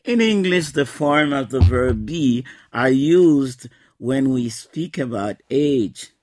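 A man, teaching an English lesson in a slow, patient scripted style. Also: mid-range voice, lively intonation, darkish tone. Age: 60s.

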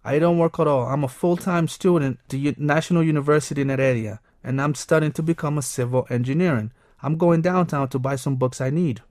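A man playing a polite twenty-two-year-old engineering student who spells words out clearly. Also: a little high, brisk, few pauses, a little mellow, no echo, studio quality, lightly acted.

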